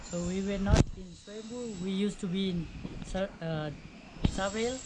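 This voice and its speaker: A woman in her early twenties from Nepal with a Nepali accent, explaining things to visitors informally in halting, non-native English. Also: medium pitch. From Nepal, near the Indian border. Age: early 20s. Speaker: orphanage staff member. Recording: noisy amateur video sound.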